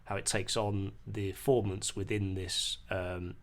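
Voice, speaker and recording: A man explaining music software in an unscripted tutorial, hesitant and pausing mid-sentence as he goes. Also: sits low, little pitch movement. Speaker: music producer giving a tutorial. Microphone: voice-over on a screen recording.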